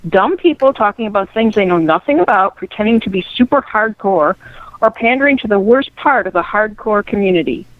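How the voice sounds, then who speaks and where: A woman's voice: mid-range voice, lively intonation, a little fast, dry recording. Dull-sounding dry recording, woman reading dramatically. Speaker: guest reader of listener comments. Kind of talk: dramatic reading of hostile comments. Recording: dry, band-limited radio-like sound.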